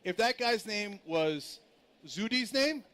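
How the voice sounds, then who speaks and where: Emphatic man moderating, slightly high voice. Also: lively intonation, takes it slowly, a bit bright. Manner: emphatic, argumentative. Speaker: panel moderator. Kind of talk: live panel discussion at a conference. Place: conference stage.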